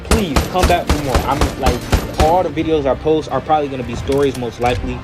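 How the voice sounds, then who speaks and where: A man's voice: mid-range voice, a little fast, loud music behind the voice. A fourteen-year-old boy rambling casually to his viewers in a storytime vlog, full of "you know" fillers and restarts, talking straight to the audience in informal slang. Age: teens, 14. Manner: addresses viewers directly, informal slangy speech. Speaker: vlogger. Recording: loud background noise and clicks.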